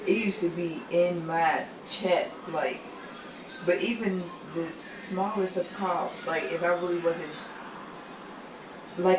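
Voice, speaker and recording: A man hosting a live game stream, chatting casually and laughing a lot in a laid-back way, sentences trailing off. He has a deep voice. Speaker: livestream host. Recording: echoey room, far from mic, noisy.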